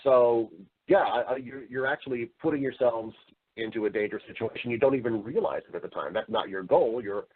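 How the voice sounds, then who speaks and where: A man's voice: medium pitch, fairly quick, breathy edge, phone-quality sound. A man speaking as an interview guest, explaining his views in an earnest, emphatic, conversational way. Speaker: voice-over artist and storyteller.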